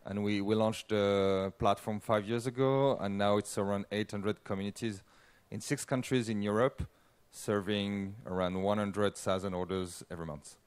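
A man in his thirties, a native French speaker, describing his work on a panel in steady, factual English. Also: lowish voice, normal speed, clear, resonant tone, no echo. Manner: steady, factual, few fillers.

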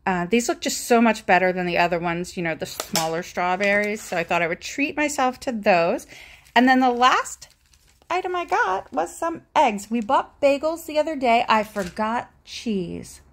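A woman's voice: on the high side, animated, normal speed. Chatty woman showing off groceries. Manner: chatty, rambling, self-deprecating asides. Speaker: vlogger. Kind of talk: casual grocery haul chat. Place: home kitchen table, indoors. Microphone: handheld phone.

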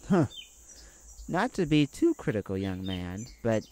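A woman voicing a kindly, hesitant male neighbor in an ASMR roleplay, telling a story in a halting, folksy way. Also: extremely low pitch, sing-song, a little mellow, heavily acted. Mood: wistful, folksy. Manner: folksy, halting, sighing between thoughts.

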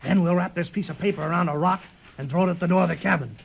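An actor playing a rough, bossy, short-tempered villainous sailor with a gruff, salty way of talking, in old-time radio adventure style. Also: male voice, sits high, rapid-fire, full character voice. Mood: threatening. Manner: gruff, salty uneducated seaman's talk. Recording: dull lo-fi old radio recording.

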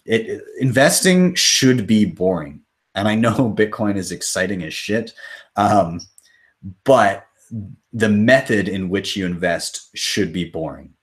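A man's voice: lowish voice, animated, slow. Chatty man hosting a home live stream. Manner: loose and chatty, reads viewer comments aloud, swears casually. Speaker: crypto channel host. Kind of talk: live stream Q&A, casual chat. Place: small room at home, indoors.

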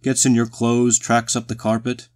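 Man putting on a booming, pompous walrus gentleman. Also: monotone, normal speed, close to an everyday voice. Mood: startled, disapproving. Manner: pompous, polite, hesitant, old-fashioned British phrasing. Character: big, genteel, embarrassed, slightly tipsy walrus-like gentleman. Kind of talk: acted storybook dialogue.

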